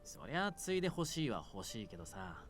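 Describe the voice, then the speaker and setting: Playful demon king, lively range. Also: on the low side, very fast, soft background music. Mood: earnest. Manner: playful, teasing, smooth flirting. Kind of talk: Japanese visual novel voice acting.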